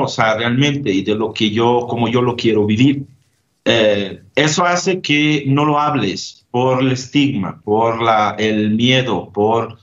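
A man's voice: medium pitch, highs cut off. A man from Italy, a grief therapist, answering interview questions thoughtfully with frequent hesitations and tag questions.

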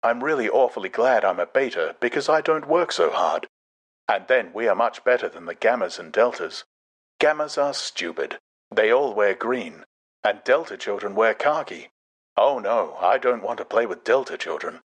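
Man acting a soft, prim recorded voice. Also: lowish voice, expressive, normal speed. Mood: prim, smug. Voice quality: soft, very distinct. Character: soft, prim recorded voice teaching class prejudice. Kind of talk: dramatized audiobook character.

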